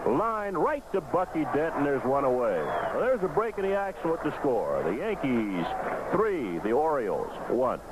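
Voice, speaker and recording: A man's voice: a little high, wildly swooping pitch, moderate pace, noisy background. An American man calling live baseball play-by-play on a 1970s radio broadcast. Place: ballpark broadcast booth.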